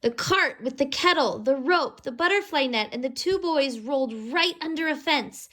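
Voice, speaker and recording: A woman reading a children's storybook aloud expressively, pausing to explain words and pictures to young listeners. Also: high-pitched, lively intonation, pushed and bright.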